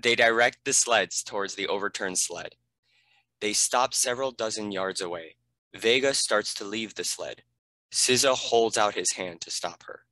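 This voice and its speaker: A man's voice: fairly low pitch, moderate pace, pushed and bright, rough recording. A man giving scripted narration, reading out the stage directions of a screenplay.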